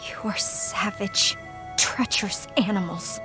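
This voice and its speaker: A woman playing a bitter, vengeful young human fighter in a video game, with sharp, hostile, distrustful delivery. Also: medium pitch, slow, music in the background, natural voice. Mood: bitter anger. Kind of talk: video game cutscene acting.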